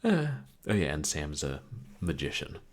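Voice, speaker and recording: Very deep, calm-voiced male streamer. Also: normal speed. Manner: soft-spoken, calm, rambling with fillers. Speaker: game streamer. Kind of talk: casual live-stream commentary.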